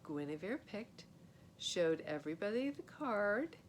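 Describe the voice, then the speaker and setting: A woman reading a children's story aloud as a narrator, in an animated storytelling style. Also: unhurried. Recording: steady noise with low rumble.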